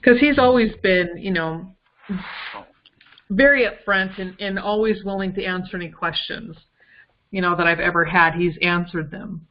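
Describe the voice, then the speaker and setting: A woman co-hosting a casual case discussion, thinking aloud in a halting way on muffled call audio. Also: fairly low pitch.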